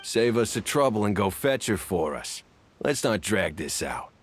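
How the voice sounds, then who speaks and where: Game henchman: sneering thug, slangy, hugely animated pitch, noisy backdrop. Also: a little slow. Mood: menacing. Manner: gruff, threatening, slangy. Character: menacing street thug, impatient and sneering. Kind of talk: video game voice acting.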